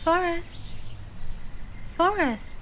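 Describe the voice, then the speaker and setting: A woman playing a gentle, watchful mother coaxing a small child in an audio drama. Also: very high-pitched, expressive, extremely slow, a little hiss or hum, heavily acted. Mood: tender, coaxing.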